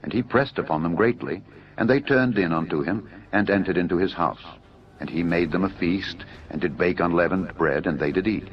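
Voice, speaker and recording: A man's voice: low voice, moderate pace. A man narrating dramatised scripture in a formal, solemn style. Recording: dull narrow audio over music.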